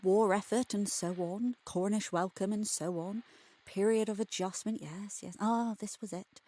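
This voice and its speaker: A woman narrating a scripted bedtime short story for adults, expressive and wry. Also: mid-range voice, measured pace.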